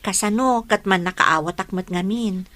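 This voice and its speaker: A woman's voice: medium pitch, moderate pace, fairly bright tone, lightly acted. An actress playing a trusting, anxious young girlfriend in a radio drama, with an Ilocano accent. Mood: defensive, earnest.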